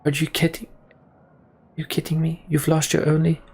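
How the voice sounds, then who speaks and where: A man's voice: fairly high pitch, completely flat delivery, moderate pace, darkish tone, husky, voice pushed up. A man voicing an outraged, distrustful fantasy game leader, indignant and accusing toward a captive enemy. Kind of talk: dramatic reading of RPG dialogue.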